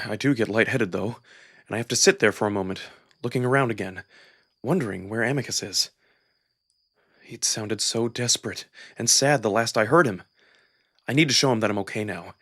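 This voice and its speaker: A man giving an expressive, dramatic first-person narration of a visual novel. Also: medium pitch, moderate pace, pauses often, husky, dry recording, studio quality. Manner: expressive, acted-out delivery. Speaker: narrator and voice performer.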